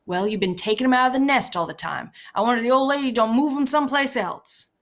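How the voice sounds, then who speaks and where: A woman reading the part of a bitter, guarded older male stable hand, with blunt, sharp rural speech. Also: a little high, fast talker, voice pushed up, natural voice. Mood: scolding.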